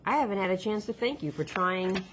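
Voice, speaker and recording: A woman's voice: mid-range voice, expressive, fairly quick, slight background noise, close to an everyday voice. A woman voicing a chatty, upbeat, teasing boy with a caring side in a storybook reading. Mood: earnest.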